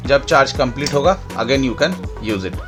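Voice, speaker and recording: A man's voice: mid-range voice, expressive, unhurried. A man with an Indian accent hosting a casual product demonstration, explaining step by step and repeating points for clarity. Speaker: product demonstrator and channel host. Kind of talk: casual product demo mixing Hindi and English. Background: background music.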